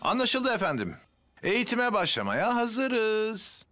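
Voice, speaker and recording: A man playing a calm, dutiful firefighter hero, polite and explanatory, in an animated series. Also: high voice, slow pace, faint music behind, full character voice.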